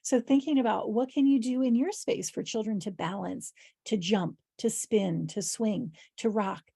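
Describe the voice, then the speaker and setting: A woman with a hoarse, husky voice giving an informal instructional presentation as an educator, explaining in a conversational way with practical examples. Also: medium pitch, normal speed, dark tone, no room sound, studio quality. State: hoarse voice, clearing her throat. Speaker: early childhood educator leading a training.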